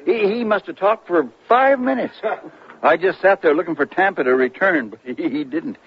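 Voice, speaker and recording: Flustered male storyteller, high swooping voice, stammering, emphatic, 1970s radio drama. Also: expressive, moderate pace, a little hiss or hum. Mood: baffled, excited. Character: excitable, earnest tall-tale-telling CB radio buff, insisting he's truthful. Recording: dull 1970s radio broadcast, music behind.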